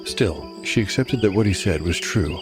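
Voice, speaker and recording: A man giving measured, literary scripted narration as storyteller and game master of a fantasy audiodrama. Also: low-pitched, expressive, over music.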